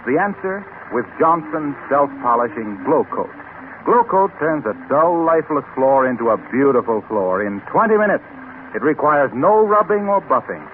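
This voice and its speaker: A man's voice: a little high, big pitch swings, normal speed. A man working as a radio announcer, reading scripted 1930s copy in a polished, emphatic sales style. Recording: tinny, noisy 1930s radio recording.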